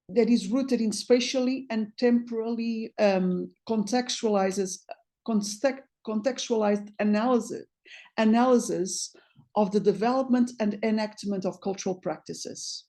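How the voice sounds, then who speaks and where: A woman's voice: a little high, warm tone. A woman from Portugal, a native Portuguese speaker with a Portuguese accent, giving an academic talk over a video call, reading from notes with frequent restarts. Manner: halting, many restarts. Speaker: guest lecturer.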